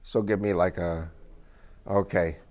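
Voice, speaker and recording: Older man whose first language is Spanish, a joking storyteller guest speaker with a somewhat low voice. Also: steady tone, a little slow, a little mellow. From United States. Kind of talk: informal talk to a small group.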